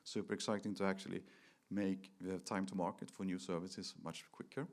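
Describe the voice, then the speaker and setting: Low-pitched man presenting tech. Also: level tone, a little fast. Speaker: conference presenter. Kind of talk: technical product presentation to an audience.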